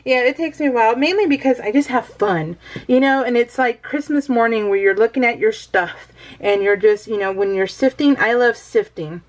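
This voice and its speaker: A woman's voice: on the high side, normal speed. A middle-aged American woman chatting casually over her craft project as a vlogger, rambling and easily sidetracked, with a bubbly manner.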